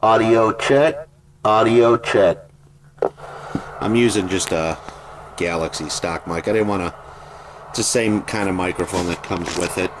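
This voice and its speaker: A man giving a casual, plain-spoken product demonstration as a private seller, in an unhurried, informal way. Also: low voice. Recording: noisy home video, steady background noise.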